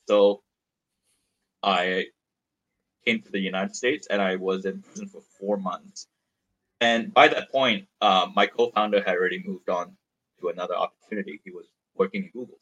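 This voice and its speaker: A man's voice: on the low side, a bit bright, poor audio. A man in his thirties from Malaysia, a startup founder being interviewed, speaking frankly and rambling a little as he tells his story. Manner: frank, rambling, repeats words while thinking. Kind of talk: candid conversational storytelling.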